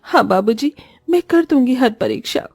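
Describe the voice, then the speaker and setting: A woman's voice: high voice, expressive, normal speed, gravelly, a little mellow, slightly rough, no echo, studio quality, soft background music, heavily acted. A woman voicing a meek, wronged young bride in a cartoon story, pleading and plaintive. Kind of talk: Hindi cartoon story voice acting.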